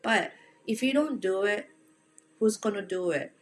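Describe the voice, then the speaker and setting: A woman's voice: medium pitch, a little slow, soft background music, rough recording. A woman from Japan with a Japanese accent, giving casual, direct instruction as a home organizing coach and speaking to the listener in an encouraging, plain-spoken way.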